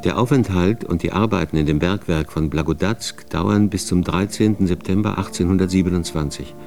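Deep-voiced man, sober documentary narrator. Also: a little fast, creaky, a little mellow, faint music behind. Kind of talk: scripted documentary narration in radio drama.

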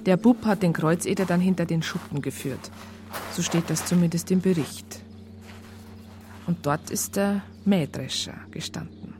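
A woman's voice: on the low side, moderate pace, mellow, slight background noise, lightly acted. A woman playing a police colleague who recounts a case in a matter-of-fact, colloquial way with a Bavarian accent. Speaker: narrator. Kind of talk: first-person conversational narration in radio crime drama.

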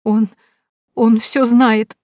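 A woman voicing a tearful young female impostor who pleads in a high, sobbing voice. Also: brisk, darkish tone, no room sound, natural voice. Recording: dry close recording.

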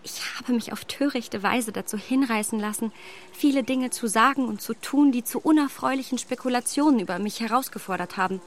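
An actress playing a proud, candid young gentlewoman in a radio drama, polite and articulate as she reproaches herself. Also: a little high, a little fast, lightly acted. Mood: earnest, confessional. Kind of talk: German radio drama acting of a classic novel.

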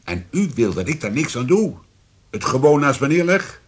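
A man's voice: mid-range voice, very animated, normal speed, husky. A man playing a formal, probing police commissioner in a radio drama, polite but insistent. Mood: urgent. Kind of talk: Dutch radio drama acting.

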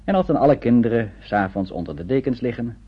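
A man's voice: medium pitch, animated, quick pace, slightly rough. A man reading scripted narration as the narrator of a radio drama. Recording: dull old radio play recording.

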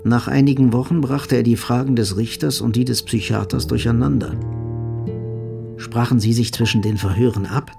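An actor as a sober male narrator tells a literary story. His voice is medium in pitch and noticeably creaky. Faint music plays beneath the narration. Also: fairly even intonation, moderate pace, close to an everyday voice. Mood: sober, reflective. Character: sober third-person narrator of a troubled love story.

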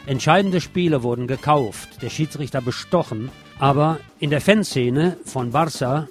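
A man's voice: mid-range voice, moderate pace. Man reading news commentary over guitar music. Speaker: radio show host and news presenter. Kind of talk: scripted local news commentary. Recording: music playing behind the voice.

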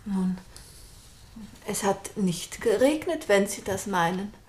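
A woman's voice: medium pitch, lively intonation, slow pace, darkish tone. A woman acting an anxious, earnest witness in a German radio play, nervous and emphatic as she explains herself. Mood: guarded. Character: anxious, earnest woman questioned over her aunt's death.

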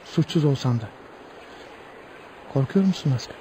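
An actor playing a brave, wrongly condemned young lover, solemn and heartfelt, in a radio play. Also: male voice, medium pitch, lively intonation, moderate pace, mellow, a little hiss or hum, close to an everyday voice. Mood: tender, resolute. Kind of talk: radio theatre acting.

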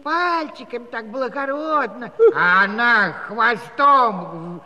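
A man's voice: lively intonation, takes it slowly, bright, forceful tone, full character voice. An actor playing a comic old Cossack grandfather who stammers and rambles in a folksy way through his storytelling. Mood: aggrieved storytelling. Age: older. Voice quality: high-pitched, stammering. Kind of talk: comic musical radio-play acting. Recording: reverberant hall-like studio recording.

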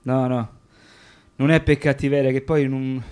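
A man's voice: mid-range voice, flat delivery, measured pace, darkish tone, clean tone, lightly acted. A man acting a reluctant, hard-bargaining seller in a radio drama, guarded and dry. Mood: guarded, haggling. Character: reluctant, hard-bargaining man selling his trench coat.

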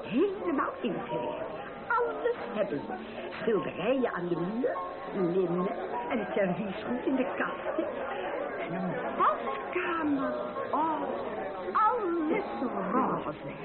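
A woman's voice: high voice, huge pitch swings, slow pace, full character voice. An actress playing a fussy, chattering middle-aged mother in a radio play, gushing and hesitant, often repeating herself. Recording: tinny band-limited radio play, loud music, party crowd.

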